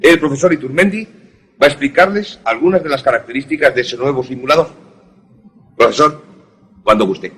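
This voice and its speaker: A man's voice: medium pitch, a little fast, pauses often, fairly bright tone, slightly rough. A man playing a stern, authoritative air force colonel in a suspense radio drama, speaking formally and in clipped commands. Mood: formal, measured.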